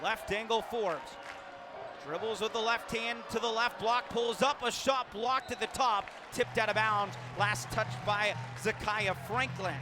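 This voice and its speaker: A man doing live basketball play-by-play for radio, with vivid, punchy calls that lift on big plays. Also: high-pitched. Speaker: play-by-play sports announcer. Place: indoor basketball arena during a game. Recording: loud arena noise, bouncing balls. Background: loud game ambience, ball bounces.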